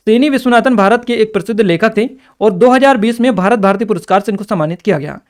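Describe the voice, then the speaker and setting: A man explaining exam questions step by step as a teacher, addressing students directly and checking they follow. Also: high-pitched. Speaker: exam-prep teacher and channel presenter.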